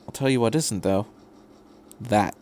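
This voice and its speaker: A man voicing a brisk, quirky time-travelling alien in a story reading, breezy and matter-of-fact in conversation. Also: on the low side, a little mellow, lightly acted. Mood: curious.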